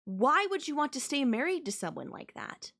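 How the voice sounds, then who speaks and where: A woman's voice: high-pitched, very animated, normal speed, creaky, a bit bright, full character voice. A woman playing a manipulative young babysitter who is obsessively in love with her employer, speaking in a conversational, possessive and defensive way in an intimate audio roleplay. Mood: bitter, insistent. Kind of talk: anime-style audio roleplay acting, intimate one-to-one.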